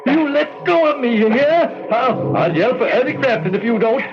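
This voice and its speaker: An actor playing a frightened, stubborn country villager with a folksy rural American drawl, in old radio drama style. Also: male voice, very high voice, lively intonation, moderate pace. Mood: panicked. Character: frightened, stubborn rustic villager man pleading and balking. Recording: dull vintage radio recording.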